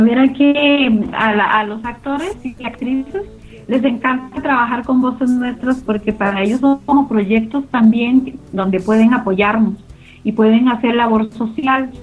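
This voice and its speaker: Interview guest, woman, enthusiastic conversational talker, slightly muffled sound with music underneath. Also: fairly high pitch. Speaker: interview guest, radio drama collaborator. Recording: slightly dull audio over music.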